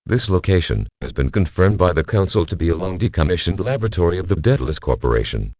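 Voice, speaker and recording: A man playing a grave government announcer, reading a scripted emergency bulletin in a formal, measured, solemn manner. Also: deep voice, big pitch swings, a little mellow, no echo, muffled recording. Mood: grave, official. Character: grave male government civil defense announcer.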